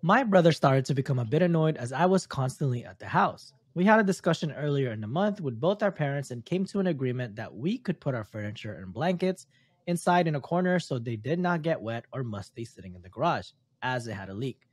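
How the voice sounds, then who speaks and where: A man's voice: mid-range voice, lively intonation, a little fast, husky, no room sound. A man who is not a native English speaker reads a story aloud for a podcast, stumbling now and then on his words. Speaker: podcast host reading stories.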